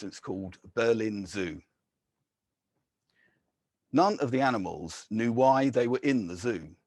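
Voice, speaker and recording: A man, a poet, reading his own poems in a measured, deliberate way, with short casual introductions between them. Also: mid-range voice, darkish tone, no echo, studio quality. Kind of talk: poetry reading with spoken introductions.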